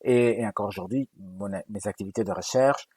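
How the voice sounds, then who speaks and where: A man from Galicia, a native Galician speaker with a Spanish accent, answers interview questions as a linguist. His voice sits low and slightly husky, recorded dry and close with no room sound. He talks hesitantly, often restarting and repeating words as he explains. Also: moderate pace, a little mellow, no echo. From Galicia, Spain. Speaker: linguist guest. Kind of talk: interview conversation.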